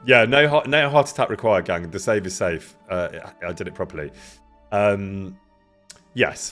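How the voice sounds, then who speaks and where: Loud, chatty man live-streaming. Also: deep voice. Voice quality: loud, carrying voice. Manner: chatty, greets viewers by name, laughs often. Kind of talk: casual live-stream chat. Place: indoor room near an event space.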